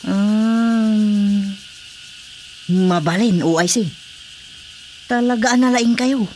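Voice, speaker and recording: A man's voice: high voice, slow, darkish tone, slight background noise. A man acting a deferential, nosy village watchman in an Ilocano radio comedy drama, with an Ilocano Filipino accent. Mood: obliging.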